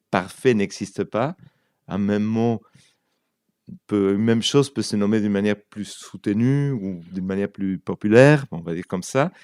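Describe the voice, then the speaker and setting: A man's voice: lowish voice, darkish tone, clear, resonant tone, dry recording, studio quality. A man from Argentina, a native Spanish speaker with an Argentine accent, chatting in an interview and often searching for words. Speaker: writer and translator, interview guest. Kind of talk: conversational interview, telling anecdotes.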